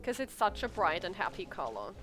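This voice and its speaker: A woman's voice: lowish voice, very animated, fairly quick, a bit bright, soft background music, low-quality recording. A woman streaming a game, chatting casually and reacting expressively and informally to what happens.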